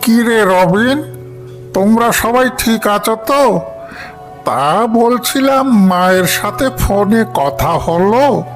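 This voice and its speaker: A man voicing a caring elderly village grandfather in a cartoon, gentle, doting and cautioning. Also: high voice, lively intonation, takes it slowly, soft background music. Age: older. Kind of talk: cartoon voice acting.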